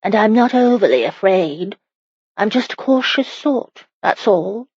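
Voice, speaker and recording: A woman voicing an anxious, stubborn young medieval wife in a romance audiobook, with breathy, emotional, drawn-out delivery. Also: mid-range voice, animated, darkish tone, dry recording, highs cut off, pitched above the performer's own voice. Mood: timid, defensive.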